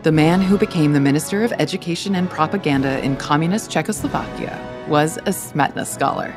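A woman hosting a podcast, narrating a scripted story in a clear, measured way. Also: deep voice, music in the background. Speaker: podcast host.

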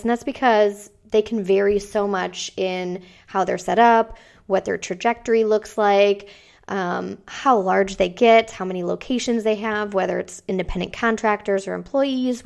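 A woman with a cold hosts a podcast, her voice raspy and gravelly. She talks through her points in a relaxed, conversational way with plenty of ums. Her pitch sits in the middle range. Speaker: podcast host. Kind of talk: solo business podcast talk.

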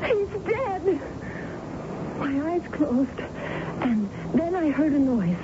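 A woman's voice: sits high, big pitch swings, slow pace, full character voice. A woman playing a distraught young American woman, a daughter recounting a terror, in a radio drama. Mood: haunted, distressed. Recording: muffled, noisy vintage radio recording.

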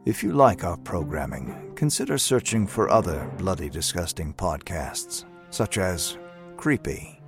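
A man with a gravelly voice announcing a horror podcast sign-off in a slow, theatrical, scripted delivery. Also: low-pitched, big pitch swings. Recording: clear music bed under the voice.

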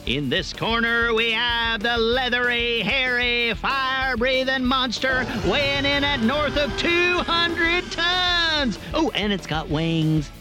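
A man voicing an excitable, theatrical cartoon sidekick who delivers exaggerated, exclamatory play-by-play like a wrestling announcer. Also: very high-pitched, slow, hardly any pauses, full character voice. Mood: excited showman. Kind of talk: exaggerated play-by-play sports commentary and comic narration. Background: music.

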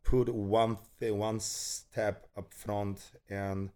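A man from Poland, whose first language is Polish, chatting as a podcast guest about fitness in short, playful answers with halting English. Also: on the low side, level tone, mellow, clean tone. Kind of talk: casual chat.

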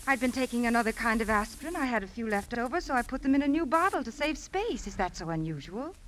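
A woman's voice: fairly high pitch, very animated, fast talker, fairly bright tone. An actress in a 1950s radio drama playing a poised, proud woman with a polished, composed way of talking. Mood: indignant. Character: poised, cool woman suspected of poisoning, proudly defiant. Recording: 1950s radio broadcast, faint noise.

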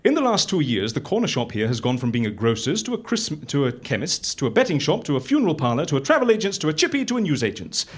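A man acting in a live audio comedy as a brisk, matter-of-fact fixer who deals with clients in a deadpan, businesslike way. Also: a little high, husky, exaggerated voice. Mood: animated, businesslike. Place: live on stage at a festival venue.

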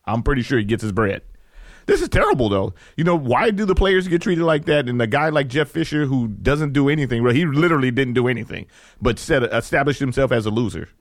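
A man co-hosts a sports talk show, trading slangy banter and quick laughs. His pitch swings widely, and the recording sounds dry and close. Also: animated, dry recording. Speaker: co-host.

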